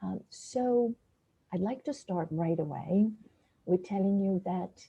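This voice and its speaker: A woman's voice: mid-range voice, slow, gravelly, mellow, husky, rough recording. A woman from Bulgaria giving an earnest, practical talk to students over a video call. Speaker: guest speaker, essay coach. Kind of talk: webinar talk.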